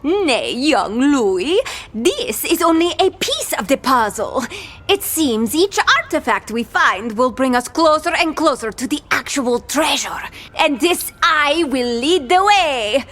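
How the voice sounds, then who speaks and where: Brash, animated pirate captain lady. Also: high-pitched, takes it slowly, full character voice. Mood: gleeful, dramatic. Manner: theatrical, mock-archaic pirate talk. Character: brash, fame-hungry woman pirate captain, theatrical and bossy. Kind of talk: scripted audio adventure acting.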